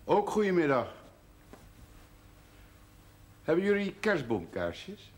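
An actor playing a mild, patient man shopping, gently moralising in a television period drama. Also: on the high side, big pitch swings, normal speed. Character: mild, gently moralising male shop customer.

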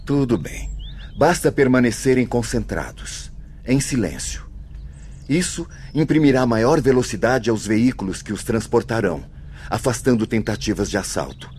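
A man plays a calm male spirit guide in a Brazilian radio drama. He speaks at a medium pitch, measured and instructive, over faint background ambience. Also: a little hiss or hum, natural voice. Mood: grave, reassuring.

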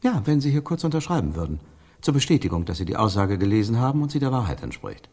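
Official man, radio drama, polite, husky, animated intonation. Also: fairly low pitch, quick pace, in a large hall. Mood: polite, declarative. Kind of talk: radio play acting.

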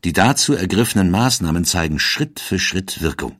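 A man narrating a scripted historical documentary in a measured storytelling manner. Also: deep voice, animated, a bit bright, slightly rough, no room sound, studio quality.

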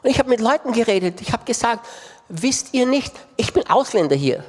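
A man's voice: extremely high pitch, big pitch swings, brisk. A man preaching a sermon with impassioned delivery and emphatic repetition. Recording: long reverb of a large hall.

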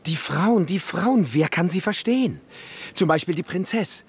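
An actor playing a lovesick, excitable young cadet in a German radio drama, speaking in a theatrical, plaintive, exclamatory way. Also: male voice, high-pitched, moderate pace, husky, full character voice. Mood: lovesick, exasperated.

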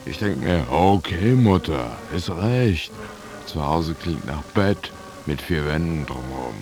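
Very deep-voiced slangy drifter. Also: male voice, lively intonation, slow pace, full character voice. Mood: weary, coarse. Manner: coarse slangy monologue in short clipped sentences. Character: worn-out, aimless male drifter telling his own misadventure. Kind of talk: radio drama monologue. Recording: music behind the voice. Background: guitar music.

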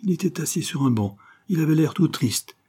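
A man voicing an excited, earnest little boy who talks in simple, insistent phrases in an audiobook story. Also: a little high, a little fast, breathy edge, voice pushed up. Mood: earnest, eager.

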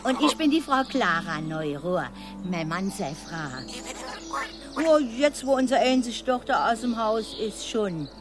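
A woman's voice: mid-range voice, very animated, unhurried, music in the background. A woman, playing a talkative housewife in a radio play. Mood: chatty. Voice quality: chatty, dialect-heavy. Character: chatty older housewife, homesick. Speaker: radio drama character.

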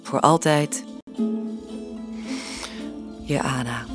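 A woman's voice: very deep voice, fairly even intonation, extremely slow, loud music behind the voice, heavily acted. An actress playing a tender, wounded young woman in love, in an intimate radio-drama style. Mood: sad, resolved.

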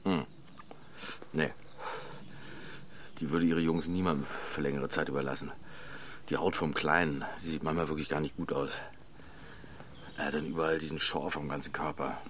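A very low male voice plays a tired family father in a naturalistic radio drama. He is colloquial and sardonic, and tends to ramble. Clearly audible ambient noise sits behind him. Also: little pitch movement, normal speed, long pauses, a bit bright. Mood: weary, frustrated. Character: weary family father, mocking, talkative brother.

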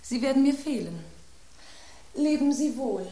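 A woman's voice: high-pitched, lively intonation, a little slow, dark tone. An actress playing an anxious, poetic woman in a radio drama, theatrical and exclamatory. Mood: relieved, wistful. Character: melodramatic, anxious, poetic woman at a tense dinner. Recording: roomy sound with hiss.

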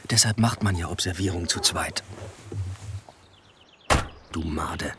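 An actor playing a sardonic, driven police inspector in a radio play, speaking in dry, clipped lines. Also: male voice, low-pitched, slow, background noise. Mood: dry sarcasm. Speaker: police detective, lead character. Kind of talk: radio play dialogue and inner monologue. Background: music.

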